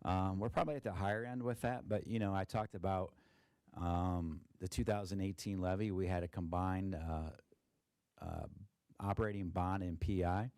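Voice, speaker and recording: A man, a school district treasurer, presenting a budget at a board meeting in a monotone with many fillers and restarts, with an Ohio accent. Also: low-pitched, normal speed, smooth, dry recording, clean studio sound. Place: meeting room.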